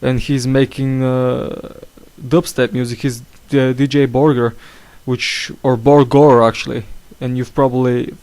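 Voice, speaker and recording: A man's voice: mid-range voice, little pitch movement, measured pace, hardly any pauses, darkish tone. A young man hosting a casual podcast, with a slight cough, talking hesitantly and stumbling through fillers and restarts. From England. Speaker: podcast host. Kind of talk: casual chat.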